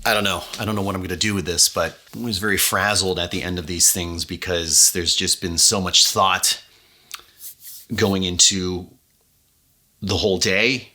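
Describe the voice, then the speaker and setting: A man speaking casually and effusively as an audio drama director, halting as he finds his words. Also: sits low, pushed and bright. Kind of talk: casual, unscripted behind-the-scenes talk.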